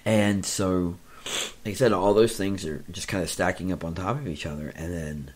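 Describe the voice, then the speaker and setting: Low, scratchy-voiced man chatting. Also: moderate pace, darkish tone. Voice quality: scratchy, deeper voice. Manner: rambling, repeats himself, self-deprecating. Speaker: podcast host. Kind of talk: casual personal update. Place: indoors in an office.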